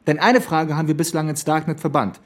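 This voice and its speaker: A man's voice: on the high side, steady tone, quick pace, close to an everyday voice. A male actor playing a wry, bookish police detective in a German radio crime drama, with a sardonic, laconic manner. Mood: analytical.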